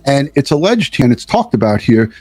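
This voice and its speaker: A man's voice: mid-range voice, very animated, a little fast, a little mellow, no room sound. Blunt man commentating, close dry sound. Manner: blunt, swearing. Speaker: host of a true crime commentary show. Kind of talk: live opinionated commentary.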